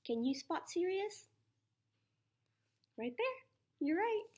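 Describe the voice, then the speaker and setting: A woman preschool teacher reading a children's science picture book aloud, putting questions to her young listeners in an animated, encouraging way. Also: very high-pitched, slow. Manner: child-directed, asks questions and answers them herself.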